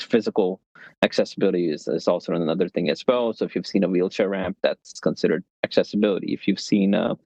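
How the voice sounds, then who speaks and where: Guy from pakistan, low raspy-fry voice, chill explainer, phone mic, kinda muffled. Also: no room sound. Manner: relaxed, explanatory, self-joking. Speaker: guest, tech founder. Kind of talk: casual interview storytelling. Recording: dull lo-fi, dry sound.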